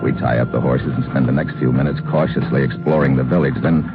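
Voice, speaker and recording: Deep-voiced, wisecracking American agent. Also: male voice, steady tone, quick pace, vocal fry, over loud music. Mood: narrating, steady. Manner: slangy, wry, hard-boiled. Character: wisecracking, tough American male secret agent. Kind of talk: old-time radio detective acting and first-person narration. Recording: muffled old radio recording.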